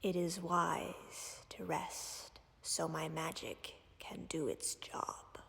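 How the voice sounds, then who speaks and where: A woman voicing a calm, ancient female dragon who tends a wounded human, speaking in a whispery, gravelly, measured and formal way in an audio roleplay. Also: low-pitched, big-room sound, heavily acted. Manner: measured, formal, dryly commanding.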